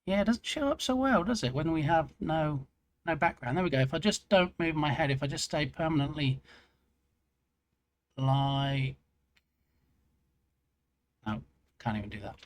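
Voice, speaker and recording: A man's voice: a little high, expressive, normal speed, long pauses. A man streaming live, chatting casually and joking at his own expense. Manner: chatty, self-mocking, rambling. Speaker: game dev streamer.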